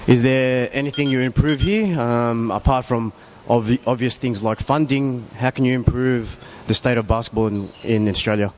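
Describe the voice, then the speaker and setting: Man, radio interviewer, medium-pitched voice, prepared questions, faint background noise. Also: normal speed, few pauses, a little mellow.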